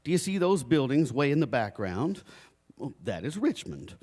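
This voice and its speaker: A man's voice: medium pitch, sing-song, moderate pace, a little mellow. An actor playing a flustered, dignified father in a live stage drama, with a formal, pompous, hesitant manner. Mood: flustered, insistent. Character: flustered, dignified father improvising answers for his children. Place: recreation center stage, live performance.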